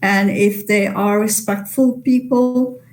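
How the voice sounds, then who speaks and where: A woman, by mother tongue, answering webinar questions. She talks slowly and carefully, pausing and restarting her sentences as she works out her answers. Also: mid-range voice, fairly even intonation. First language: Italian. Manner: measured, hesitant, many restarts. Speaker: guest translator.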